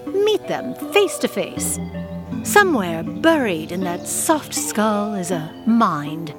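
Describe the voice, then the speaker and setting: Exasperated witch boss, dry and theatrical, very animated intonation, unhurried, audio theater comedy. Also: mid-range voice, over music. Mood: weary ranting. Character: weary, sardonic witch leader, female, exasperated and commanding.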